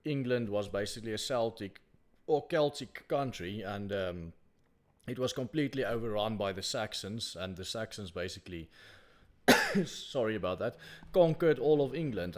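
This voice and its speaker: A man from South Africa hosting a call-in show, talking in a measured way and often restarting words. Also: lowish voice. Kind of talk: casual call-in chat.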